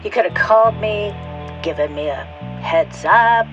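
A woman's voice: medium pitch, unhurried, fairly bright tone, muffled recording, faint music behind, lightly acted. An actress playing a fed-up divorced businesswoman in an audio drama, candid and conversational as she tells her own story. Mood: hurt, confronting. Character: fed-up divorced businesswoman, wounded, wry, breaking loose. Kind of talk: audio drama acting and confessional first-person narration.

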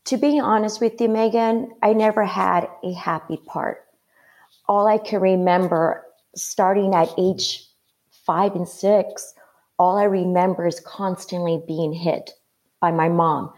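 Earnest woman from Mexico, low voice. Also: a little mellow. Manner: earnest, halting, with many fillers. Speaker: guest. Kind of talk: personal life story interview.

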